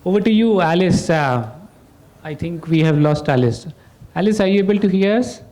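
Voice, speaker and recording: Soft-toned male panel moderator, halting. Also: sits high, lively intonation. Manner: hesitant, many fillers.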